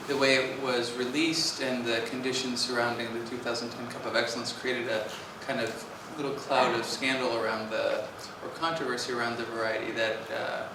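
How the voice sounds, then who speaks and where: A man on a conference panel who talks in a measured, reflective way and uses steady fillers. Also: medium pitch. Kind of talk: panel discussion. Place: conference hall stage. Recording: echoey hall, from far off, with clear background noise.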